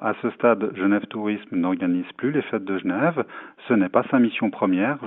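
A man giving formal spokesman answers in an interview, measured and hesitant. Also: on the low side. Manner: measured, halting delivery with many hesitations. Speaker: tourism foundation president, interviewee. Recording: phone-like, narrow-band radio sound.

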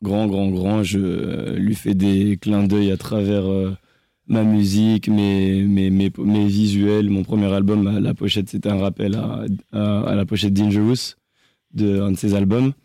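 A young man from France speaks as a singer being interviewed, pausing and restarting often as he searches for words. His low voice has a gravelly edge and a dark, mellow tone. The sound is dry, with no room around it. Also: little pitch movement, no echo. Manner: halting, hesitant, many restarts. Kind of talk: interview answers.

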